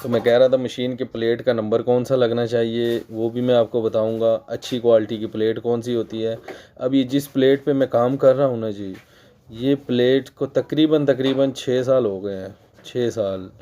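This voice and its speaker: A man from Pakistan with a Pakistani Urdu accent, hoarse from a cold, patiently explaining sewing machine work in a casual tutorial. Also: mid-range voice, fairly even intonation, normal speed, warm tone. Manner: patient, repeats points for emphasis; clears his throat often. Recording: dull lo-fi recording.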